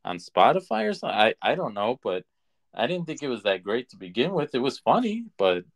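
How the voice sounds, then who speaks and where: A man co-hosting a podcast in casual conversation, opinionated and halting as he restarts his points. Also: mid-range voice, very animated. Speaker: podcast co-host. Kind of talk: casual chat.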